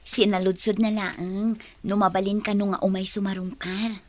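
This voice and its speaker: A woman with an Ilocano accent playing a heartbroken, naive woman in love in a radio drama, plaintive and soft-hearted. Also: mid-range voice, level tone, moderate pace, natural voice. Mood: defensive. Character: lovesick, heartbroken, naive woman clinging to a man.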